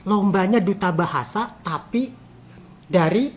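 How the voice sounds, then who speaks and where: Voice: medium pitch, a little slow, a little hiss or hum. An interviewer hosting a conversational chat, often repeating and affirming what guests say. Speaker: interviewer, host.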